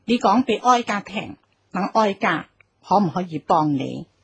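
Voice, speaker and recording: Stately empress dowager, Cantonese radio drama: slow, formal, commanding yet compassionate, widely varied intonation. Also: female voice, mid-range voice, animated, gravelly, slightly rough, muffled recording, full character voice. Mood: kindly, probing. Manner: formal, imperious, deliberate. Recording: music behind the voice.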